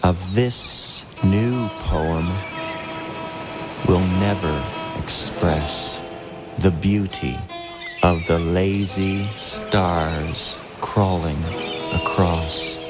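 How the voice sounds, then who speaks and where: A man reading fragmentary poems in a slow, measured way. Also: low-pitched. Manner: measured, fragmentary, trailing into whispers. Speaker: poetry reader. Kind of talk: slow poetry reading over a soundscape. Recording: voice over loud music bed.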